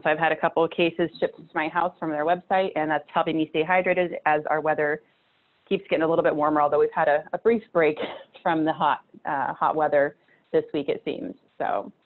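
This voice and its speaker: A woman in her thirties giving a casual nutrition talk over slides, chatty, with many fillers and restarts, from a small room at home. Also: low-pitched. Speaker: nutrition presenter. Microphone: video call webcam. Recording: tinny phone-like video call audio.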